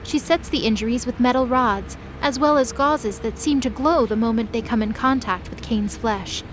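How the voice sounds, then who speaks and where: A woman's voice: fairly high pitch, normal speed, noisy background. A woman giving scripted, dramatic narration as the narrator of an audio drama.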